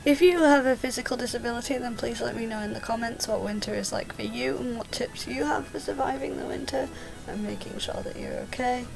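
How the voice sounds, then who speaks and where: A woman's voice: on the high side, fairly quick, vocal fry, over music, rough recording. A young woman with a sore throat, chatting casually and a little ramblingly as a vlogger. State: sore throat, coming down with a cold. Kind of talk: casual chat to camera.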